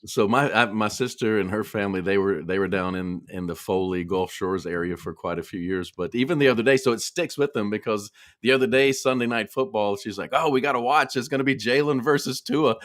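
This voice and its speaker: A male podcast co-host telling a relaxed anecdote. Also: on the low side, brisk, few pauses, no room sound, clean studio sound. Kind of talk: casual interview chat.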